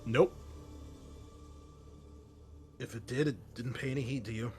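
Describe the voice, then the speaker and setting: A man running a tabletop roleplaying game as game master, narrating scenes haltingly with pauses. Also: medium pitch, steady tone, a little mellow.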